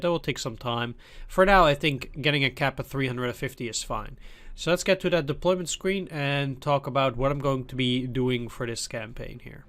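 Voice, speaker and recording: A man's voice: medium pitch, fairly bright tone. A man with a cold hosting a let's play, talking loosely and thinking aloud as he plays. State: has a cold. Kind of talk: casual gameplay commentary.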